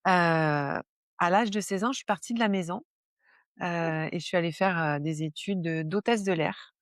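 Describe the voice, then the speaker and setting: A woman's voice: fairly low pitch, moderate pace, no echo. Southern french lady, middle-aged, lots of ums and restarts, dry close mic. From southern France (Var). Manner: hesitant, many fillers and restarts. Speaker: guest, stress management coach. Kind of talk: casual interview conversation.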